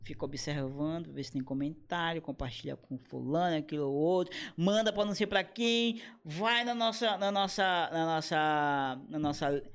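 A man in his thirties, a comedian and motion designer, chatting casually in a slangy, animated way with quoted asides. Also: fairly high pitch, normal speed, few pauses, smooth. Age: 30s. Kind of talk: casual podcast conversation.

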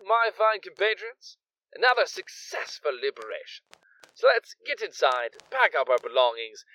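A man's voice: high voice, fairly bright tone, exaggerated voice, low-quality recording. A man playing a smug, flowery-spoken kidnapper gang leader in an audio drama, pompous and theatrical. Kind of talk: audio drama villain acting.

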